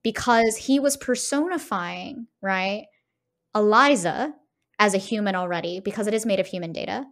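A woman's voice: medium pitch, moderate pace, a bit bright. A woman co-hosting a podcast in analytical conversation, emphatic and often checking in with tag questions. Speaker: podcast co-host.

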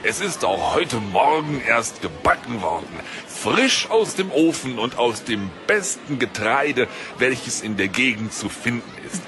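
A man's voice: mid-range voice, sing-song, a little slow, few pauses. A man playing a greedy, haughty market baker in an audio drama, with a salesman's manner. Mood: ingratiating. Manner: salesman-like, hesitant stammering when flustered. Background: music.

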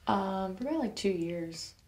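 A woman's voice: medium pitch, expressive, normal speed, darkish tone. A woman in her twenties giving casual, hesitant answers as a patient in a consultation. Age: 20s. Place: small carpeted office treatment room. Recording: distant mic, faint background noise.

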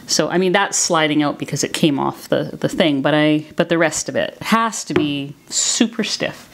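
A woman's voice: fairly low pitch, a little hiss or hum. An older woman, around her sixties, casually explaining as she demonstrates cooking in a conversational way. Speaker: cooking video host. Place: home kitchen, indoors.

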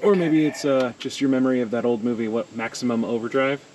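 Dry-joking man talking fast offscreen. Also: medium pitch, darkish tone. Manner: dry joker, asks curious questions. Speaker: person filming and asking questions. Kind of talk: casual joking chat. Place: outdoors in a wooded yard. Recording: outdoor sound, some distance from the mic, with background noise.

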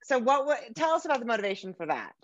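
A woman's voice: a little high, very animated, fast, dry recording. Middle-aged woman hosting a podcast, close dry sound, a bit dull like a video call. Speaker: podcast host and suspense author. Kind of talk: podcast introduction and interviewing. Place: home room with bookshelves, indoors.

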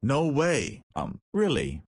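A man's voice: mid-range voice, wildly swooping pitch, slow, breathy edge, no room sound, studio quality, heavily acted. A man voicing a cheeky, easygoing nineteen-year-old in a visual novel, with casual, slangy speech. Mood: sheepish. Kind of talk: visual novel character dialogue.

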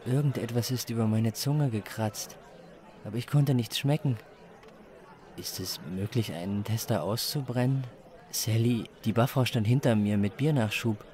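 A man's voice: medium pitch, moderate pace, dark tone, close to an everyday voice. A man playing a crude, despairing chili judge who complains melodramatically in a comic story. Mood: agonized disbelief.

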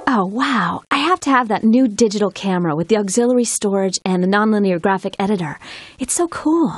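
An actress voicing a gushing, wistful photographer in a cartoon game. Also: female voice, medium pitch, lively intonation, a little fast, dry recording, studio quality, soft background music, lightly acted. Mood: longing. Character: gushing, wistful woman photographer coveting new camera.

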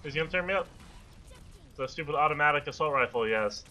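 A young man with a bright, forceful voice chats through a multiplayer game. He talks casually into a close mic, excitable and swearing freely as he reacts. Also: a little high, quick pace. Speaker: video game player. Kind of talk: casual multiplayer gaming chat.

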